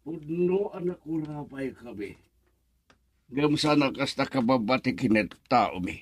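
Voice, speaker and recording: A man's voice: medium pitch, lively intonation, a little slow, slightly rough, no echo. An actor playing a stern, protective, proud middle-aged father in a radio melodrama, firm and emphatic. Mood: proud, angry.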